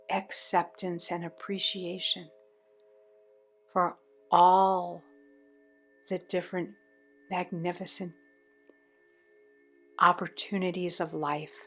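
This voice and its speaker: A woman leading a guided meditation in measured, short phrases with pauses between them. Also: fairly low pitch.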